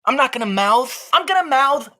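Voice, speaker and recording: A man's voice: extremely high pitch, lively intonation, moderate pace, bright, forceful tone, heavily acted. An actor playing an aggrieved, rebellious male ventriloquist's dummy in a comic audio play, indignant and declamatory. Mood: defiant.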